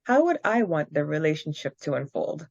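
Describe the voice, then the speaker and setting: Woman podcast host, lowish voice, dry muffled lo-fi sound, chatty and quick. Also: very animated, vocal fry, no room sound. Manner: reads questions aloud, quick bursts, laughs often. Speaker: podcast co-host reading listener answers. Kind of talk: casual podcast chat.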